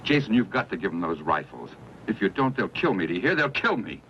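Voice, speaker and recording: Radio western role: desperate trader, pleading, very lively intonation, muffled and faintly noisy. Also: male voice, deep voice, fairly quick. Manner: pleading, insistent. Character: desperate, self-serving frontier trader bargaining for his life. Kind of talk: western radio drama acting.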